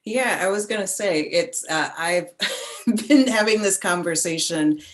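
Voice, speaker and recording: A woman with a low voice talks reflectively in a panel conversation. She is informal and animated, often searching for the right words as she goes. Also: a little slow. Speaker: performer on a panel.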